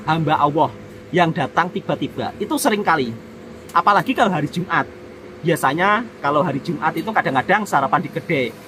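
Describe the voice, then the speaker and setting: A man's voice: fairly high pitch, noisy background. A man from Indonesia vlogging in a friendly, devout manner, often referring to himself by name in the third person. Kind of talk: casual vlog talk to viewers.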